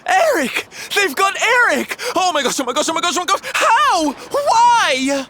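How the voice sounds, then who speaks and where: An actor playing a flamboyant, loyal and emotional adventurer in a fantasy video game, with a theatrical, dramatic manner. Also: male voice, very high voice, sing-song, a little slow, pushed and bright, a little hiss or hum, heavily acted. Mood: panicked.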